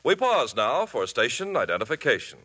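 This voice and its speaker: A man announcing a 1950s radio show, giving a hearty sales pitch. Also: very animated, moderate pace, pushed and bright, breathy edge.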